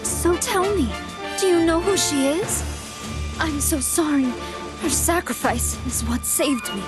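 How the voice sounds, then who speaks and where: A woman's voice: sits high, measured pace. A woman voicing a playful, scheming female android villain in a video game cutscene, sugary and theatrical. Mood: feigned pleading. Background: loud music.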